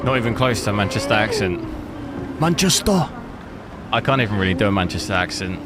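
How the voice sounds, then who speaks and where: A man's voice: on the low side, very animated, fairly quick, over loud music. A young man from the South Coast of England with a slightly Cockney southern English accent, chatting casually while streaming a game and answering viewers. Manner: rambling, chatty, answering chat. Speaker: gaming streamer. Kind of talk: casual live commentary while playing.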